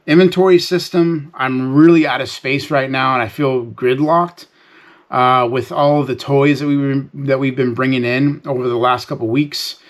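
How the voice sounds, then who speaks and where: Man, medium pitch, casual vlog host, unscripted, conversational, explanatory, folksy phrasing. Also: fairly bright tone. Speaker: reseller hosting a sales recap vlog. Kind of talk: casual unscripted talk to viewers.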